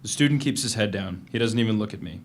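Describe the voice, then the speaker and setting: A man's voice: fairly low pitch, fast, slight background noise, close to an everyday voice. An actor playing a guarded, defiant prisoner, narrating his journal tersely in a dramatic reading of a novel. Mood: tense narration. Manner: plain, guarded, terse storytelling in present tense.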